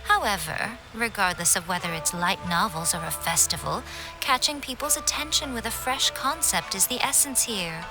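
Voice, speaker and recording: A woman playing a sly, persuasive, teasing festival organizer in a video game, speaking in a measured and eloquent way. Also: mid-range voice, expressive, over music, close to an everyday voice, rough recording. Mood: coy persuasion.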